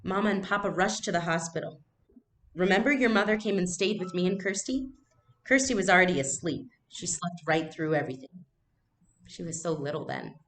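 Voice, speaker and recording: A woman's voice: fairly low pitch, animated, brisk, fairly bright tone, a little hiss or hum. A woman voicing a reassuring young girl, matter-of-fact and confiding, in a storybook read-aloud. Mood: somber, remembering.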